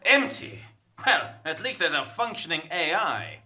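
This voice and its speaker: A woman's voice: low-pitched, huge pitch swings, unhurried, bright, forceful tone, exaggerated voice. A woman playing a bumbling, bashful cowboy drifter with stammering bravado in a comic space western radio play. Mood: theatrical, wary. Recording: faint noise, live theatre performance.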